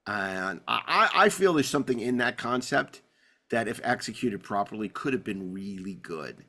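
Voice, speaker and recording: A man hosting a podcast in casual discussion, telling rambling anecdotes with halting, repeated words. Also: on the low side, lively intonation, sharp tone. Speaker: podcast host. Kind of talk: casual panel discussion of classic TV.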